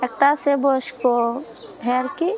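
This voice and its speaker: A young woman of about eighteen with a colloquial Bangladeshi accent, giving plain, informal answers in a soft, weak-sounding voice. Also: high voice, fairly even intonation, moderate pace, rough recording. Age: young adult, about 18. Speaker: interviewee.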